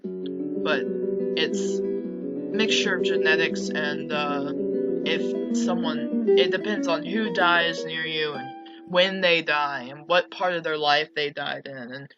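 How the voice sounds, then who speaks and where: Female streamer reading a visual novel, slow and dramatic with offhand remarks, slightly lo-fi mic over guitar music. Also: sits low. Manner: dramatic read-aloud, breaking off into casual asides. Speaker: video game streamer and narrator. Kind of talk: reading a visual novel aloud with side comments. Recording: dull lo-fi mic with music behind.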